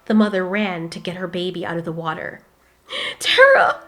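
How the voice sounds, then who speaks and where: A woman's voice: fairly low pitch, fairly even intonation, quick pace, faint music behind, lightly acted. A woman voicing a desperate mother, urgent and pleading as she asks about her missing children. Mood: frantic. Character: frantic, loving mother searching for her children.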